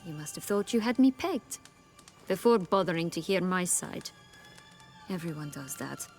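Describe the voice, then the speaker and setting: An actress playing a proud, bitter exiled noblewoman in a video game, with haughty, formal, measured speech. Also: lowish voice, lively intonation, a little mellow, faint music behind.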